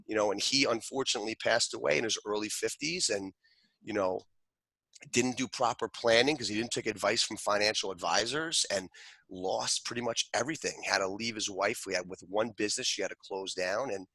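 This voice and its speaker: A man's voice: fairly low pitch, a little fast, bright, forceful tone, husky, dry recording, rough recording. A man, a martial arts grandmaster, speaking earnestly and reflectively as a guest in an interview, with frequent restarts. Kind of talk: interview conversation.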